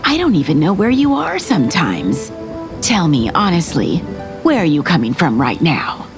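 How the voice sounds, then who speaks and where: A woman playing a fretful, prying middle-aged mother in an audio drama, insistent and expressive. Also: low voice, normal speed, music in the background, exaggerated voice. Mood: concerned, insistent. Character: fretful, prying matchmaking mother of grown son.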